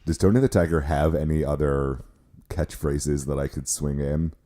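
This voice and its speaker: A hoarse man co-hosting a podcast, chatting casually with lots of restarts and poking fun at himself. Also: rumbling low, fairly even intonation, moderate pace, mellow. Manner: rambling, self-mocking, many restarts. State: hoarse from illness, voice cracks. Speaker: podcast co-host. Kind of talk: casual chat.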